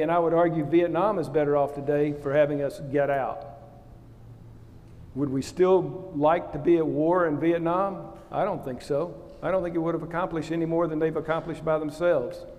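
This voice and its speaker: An older man speaks bluntly and plainly on an auditorium stage, his voice sitting a bit high for a man. His words carry a long hall reverb around them. He sounds like a seasoned officer who says what he thinks. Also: a little mellow. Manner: blunt, opinionated, plain-spoken. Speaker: panel guest, retired naval officer. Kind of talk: candid panel answer.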